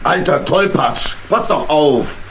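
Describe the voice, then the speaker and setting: An actor playing a grumpy old uncle badger, a blustering riverboat captain in a children's audio drama. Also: male voice, on the high side, animated, slow, fairly bright tone, a little hiss or hum. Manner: irritable, blustering scolding.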